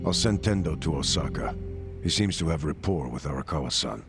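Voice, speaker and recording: An actor playing a stoic, obedient yakuza lieutenant in a video game cutscene, speaking in a terse, guarded way. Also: male voice, very deep voice, animated, fairly quick. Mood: guarded, deferential. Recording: music behind.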